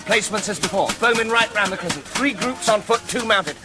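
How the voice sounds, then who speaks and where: Actor as high-pitched brisk medieval commander. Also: male voice, over loud music, natural voice. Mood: brisk, commanding. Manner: clipped, commanding. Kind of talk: radio drama acting.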